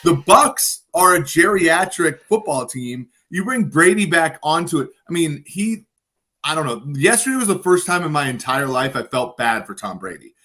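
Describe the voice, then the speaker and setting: A man's voice: fairly high pitch, expressive, normal speed, bright, forceful tone. A man in his thirties, a podcast guest, talking football in a casual, joking way with frequent stammered restarts. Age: 30s. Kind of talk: casual sports chat.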